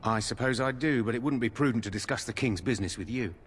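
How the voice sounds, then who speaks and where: An actor playing a polite, reserved royal guard in a fantasy game, discreet and formal. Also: male voice, mid-range voice, fast, natural voice. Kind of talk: fantasy video game acting.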